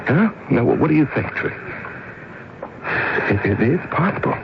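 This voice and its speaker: A man's voice: mid-range voice, big pitch swings, moderate pace, vocal fry. An actor playing an anxious middle-aged family man who stammers and pleads insistently, in the style of 1970s radio drama. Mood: troubled, confiding. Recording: muffled, noisy old radio broadcast.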